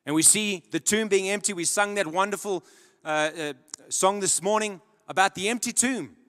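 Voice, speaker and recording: A young man preaching a sermon on a church stage into a handheld mic, dramatic, swinging between loud emphatic peaks and low quiet drops. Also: normal speed. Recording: long church hall reverb.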